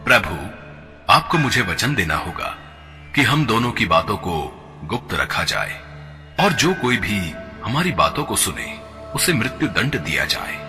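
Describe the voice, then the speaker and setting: Man doing solemn death god, deep, lively, bright, formal, reverby hall, music behind. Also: unhurried, exaggerated voice. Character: stern god of death, elderly male. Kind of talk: devotional cartoon voice acting. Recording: long reverb with background music.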